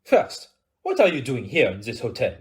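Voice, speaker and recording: A man's voice: medium pitch, huge pitch swings, moderate pace, a little mellow. A man playing a courteous, self-assured Belgian detective in an audio play, with a French-speaking Belgian accent and formal, precise, theatrical phrasing. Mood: probing, insistent.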